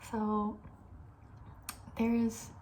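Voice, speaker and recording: Soft-spoken, mellow ASMR woman, often whispery. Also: fairly high pitch, monotone, extremely slow, slight background noise. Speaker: ASMR video creator, host.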